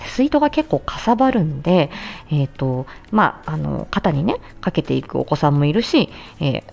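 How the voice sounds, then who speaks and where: A woman's voice: low voice, big pitch swings, moderate pace, creaky, darkish tone, soft background music. A woman hosting a solo talk, explaining things casually and conversationally as a speech therapist, with emphasis and frequent appeals for agreement. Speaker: speech therapist, audio show host. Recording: slightly dull, muffled lo-fi recording.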